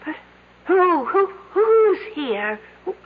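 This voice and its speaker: High-voiced desperate young woman. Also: sing-song, takes it slowly, heavily acted. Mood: desperate, urgent. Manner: urgent, emotional. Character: desperate young woman trapped in a cave, urgent. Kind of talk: 1970s radio drama acting. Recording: muffled, hissy old radio recording.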